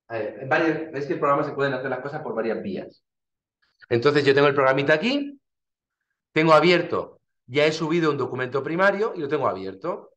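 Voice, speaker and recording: A man's voice: fairly high pitch, a bit bright. Man lecturing in a roomy, dull recording. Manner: informal, explains with everyday examples, frequent restarts and "¿vale?". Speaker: university lecturer.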